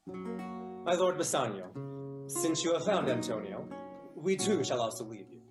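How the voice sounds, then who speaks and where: An actor playing a quiet, drily long-suffering Venetian gentleman with formal, understated Shakespearean delivery. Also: a little high, sing-song, a little slow. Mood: courteous. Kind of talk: Shakespearean audio drama acting. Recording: roomy sound under loud lute music. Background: lute music.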